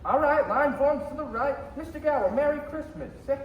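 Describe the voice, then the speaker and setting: A young man acting in a school radio play as a brisk, cheerful townsman who announces and takes charge. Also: very high-pitched, dull audio. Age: young adult. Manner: announcing, directive. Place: school theatre stage. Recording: very echoey theatre, faint noise.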